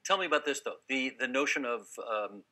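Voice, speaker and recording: A man hosting a panel discussion, asking brisk, quick follow-up questions. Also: medium pitch, expressive, pushed and bright. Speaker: host. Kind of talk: panel interview.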